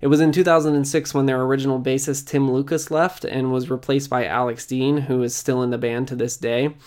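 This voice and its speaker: A man's voice: medium pitch, flat delivery, a little fast, darkish tone. A man hosting a music history series, narrating a scripted video essay in informal, conversational phrasing.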